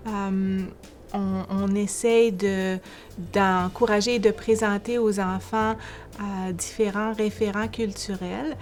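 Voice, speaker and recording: A woman educator explaining calmly in a documentary interview. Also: medium pitch.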